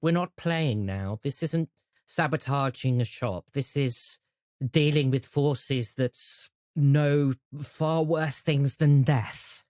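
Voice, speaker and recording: A man voicing a kindly, grave old grandmother in a tabletop game, gently addressing listeners as dears. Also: medium pitch, slow pace, dry recording, clean studio sound, lightly acted. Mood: grave warning. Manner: gentle, grave, calls people dear. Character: kindly old grandmother shopkeeper, solemn, secretly more powerful.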